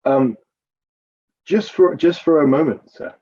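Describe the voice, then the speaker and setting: Man playing nervous husky-voiced Roman guard corporal. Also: fairly high pitch, unhurried, warm tone, lo-fi sound. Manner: hesitant, deferential, stammering. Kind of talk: dramatized courtroom testimony.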